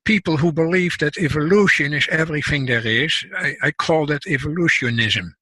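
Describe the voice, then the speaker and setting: Dutch-accented man, bright and dry. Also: a little high, slightly rough, no room sound. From Netherlands. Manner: measured, explanatory, frequent restarts. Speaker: guest scientist and author. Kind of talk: casual interview talk.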